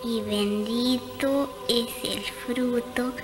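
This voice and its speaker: Small, high-voiced shy girl. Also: steady tone, drawn-out words, over music. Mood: reverent. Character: shy, eager younger girl learning to pray. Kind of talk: radio drama acting.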